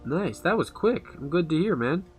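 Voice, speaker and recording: A man's voice: fairly high pitch, very animated, moderate pace, a little mellow, faint music behind. A man giving casual let's play commentary, informally talking back to the game characters. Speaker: gamer, video creator.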